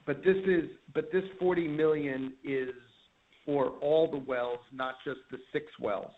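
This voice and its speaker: Halting man on a tinny phone line. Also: a little high, steady tone. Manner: halting, probing. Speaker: caller, investment analyst. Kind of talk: earnings call questioning. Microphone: telephone.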